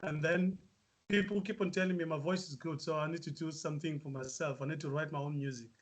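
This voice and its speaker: A South African musician answering interview questions, hesitant with many fillers. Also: male voice, fairly high pitch, fairly even intonation, fast. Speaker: musician guest.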